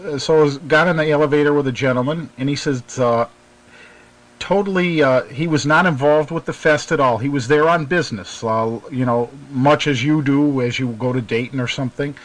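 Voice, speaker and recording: Male radio host, mid-pitched, conversational and agreeable, swapping casual stories. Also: brisk, rough recording. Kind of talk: casual chat, telling anecdotes.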